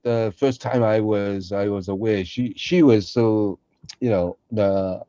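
A man with a lowish, mellow voice chats informally as a co-host. His phrasing is halting and non-native, recorded dry and a little dull. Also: steady tone, no room sound. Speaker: podcast co-host, amateur voice actor. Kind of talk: casual behind-the-scenes chat.

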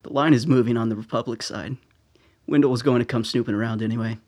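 An actor playing a confident military intelligence officer in a radio drama, gravelly and husky, with a terse, matter-of-fact delivery. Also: male voice, mid-range voice, steady tone, quick pace, a little mellow, dry recording, studio quality, close to an everyday voice.

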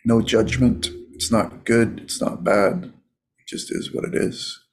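Slow, husky man guiding a meditation. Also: on the low side, steady tone. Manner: soothing, casual, unhurried with many pauses. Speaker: meditation coach. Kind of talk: guided meditation.

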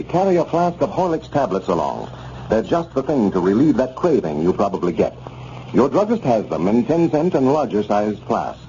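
A man's voice: mid-range voice, dark tone. A man working as a radio announcer, reading a scripted 1930s sponsor message in a polished, formal manner. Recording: muffled, noisy 1930s radio recording.

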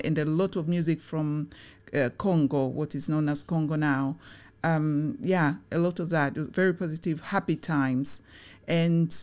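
A middle-aged woman born in Tanzania talks through her answers in an interview. Her voice sits low, and she is reflective and unhurried, often pausing on fillers and restarting her phrases. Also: a little mellow, clean tone. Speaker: guest, chief operating officer.

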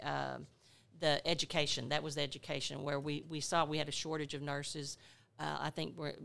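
A woman nurse educator and advocate talking in a podcast interview, halting often with restarts while recalling details. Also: deep voice, creaky, fairly bright tone, smooth.

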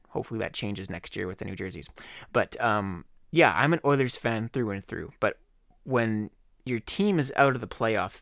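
Hoarse man hosting a casual podcast. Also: mid-range voice, a bit bright, no echo, clean studio sound. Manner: rambling, conversational, with fillers. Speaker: podcast host. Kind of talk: casual solo podcast talk.

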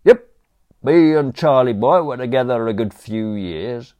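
A man's voice: mid-range voice, expressive, measured pace, warm tone, pitched above the performer's own voice, natural voice. A man voicing a brash, cheerful male butler with a cheeky, slangy way of talking. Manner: breezy, cheeky, working-class slang.

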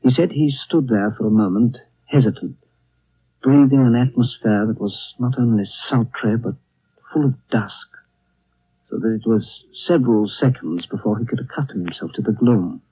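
An actor playing a grave, thoughtful narrator who tells a tale in deliberate phrases for a 1930s radio drama. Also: male voice, mid-range voice, husky, dry recording, close to an everyday voice. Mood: grave, hushed wonder. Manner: deliberate, atmospheric, pausing phrases. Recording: dry, narrow-band old radio broadcast.

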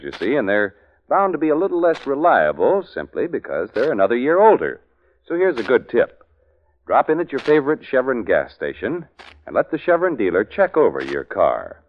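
A man working as a radio announcer, reading a folksy commercial in 1940s broadcast style. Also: medium pitch. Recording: muffled, hissy old radio recording.

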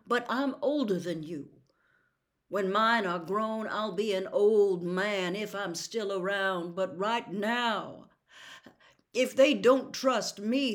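A grave, earnest lawyer and father, voiced by a woman narrator. He pleads in a measured, grim tone, slow and heavy with conviction. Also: medium pitch, close to an everyday voice. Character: grave middle-aged male lawyer and father. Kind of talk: audiobook dialogue reading.

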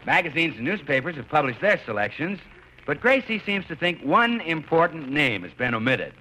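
Male vintage announcer, fairly high, forceful and bright, slight hiss. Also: big pitch swings, moderate pace, rough recording. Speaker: radio announcer. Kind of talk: old-time radio announcing.

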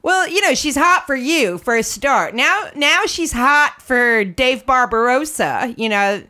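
A lively woman, phlegmy from a cold, chats in a bright, bouncy voice. She rambles and restarts her sentences as she jokes with her co-host. Her pitch climbs and dips all over the place. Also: a little slow. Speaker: podcast co-host. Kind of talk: casual comedic chat.

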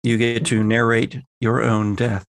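A man's voice: mid-range voice, little pitch movement, measured pace, gravelly, no echo, studio quality. A man acting as game master, narrating a horror tabletop roleplaying game in a conversational, improvised way. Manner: conversational, improvising scene descriptions.

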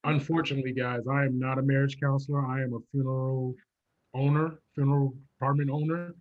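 A man's voice: mid-range voice, little pitch movement, brisk, narrow, tinny audio, lightly acted. A man playing a polite, hesitant and mildly defensive funeral home owner in an improvised comedy scene.

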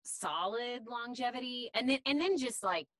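A woman voice actor with a deep voice, talking candidly and chattily in an interview, with many fillers. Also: expressive, normal speed, a bit bright, dry recording. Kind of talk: casual interview chat.

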